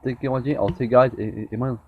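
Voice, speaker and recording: A man's voice: medium pitch, steady tone, very fast, breathy edge. A young man with a sore throat reviewing a TV show in a casual vlog, rambling and often repeating and restarting his words. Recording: dull lo-fi with faint noise.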